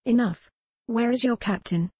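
Headstrong young noblewoman, played by a female narrator: higher-pitched, imperious, formal, insistent. Historical storybook dialogue, dry sound. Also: moderate pace, darkish tone, slightly rough, dry recording. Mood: angry, demanding. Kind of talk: audiobook character dialogue.

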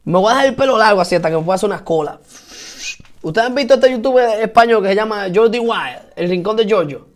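A Dominican man with a fairly high voice for a man, talking casually on a live stream from home. He is chatty and upbeat, greets his viewers by name and answers their comments, and he repeats his points for emphasis. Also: quick pace. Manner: lively and encouraging, repeats himself for emphasis. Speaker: host of a live stream for musicians.

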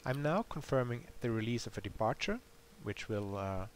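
A man's voice: medium pitch, expressive, moderate pace. A man giving a matter-of-fact, explanatory tutorial voice-over for a simulator game. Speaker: developer demonstrating a simulator game. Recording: clear background hiss.

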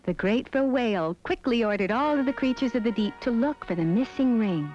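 A woman with a fairly high voice narrates a children's fairy tale as the storyteller. The soundtrack is a little dull and lo-fi, with music playing behind her. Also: expressive, a little fast, darkish tone. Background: background music.

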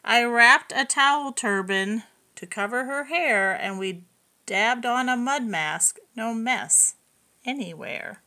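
Bright-voiced woman reading to children. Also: on the high side, slow. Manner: expressive, explains words simply to children. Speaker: preschool story reader. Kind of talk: read-aloud storytelling for young children.

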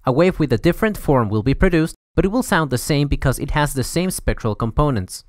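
A man's voice: on the high side, lively intonation, brisk, dry recording, studio quality. A young man narrating a scripted educational explainer in a formal, methodical way as the host. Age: young adult. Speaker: host of an educational audio series.

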